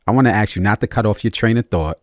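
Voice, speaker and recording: Low-voiced American man interviewing casually, dry sound. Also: quick pace, no echo. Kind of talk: casual conversation.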